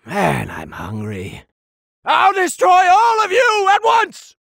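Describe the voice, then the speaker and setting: Anime game villainous cat deity: high, raspy-creaky, hugely animated, petulant and imperious, fat glutton with a blustering bite. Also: male voice, slow, no echo, shouting, clean studio sound, exaggerated voice. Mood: cocky, idle, then furious, blustering. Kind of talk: anime video game voice acting.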